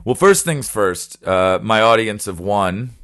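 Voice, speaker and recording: A man hosting a casual podcast interview from his apartment, self-deprecating and quick with jokes. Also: fairly low pitch, lively intonation, a bit bright. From United States. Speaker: podcast host.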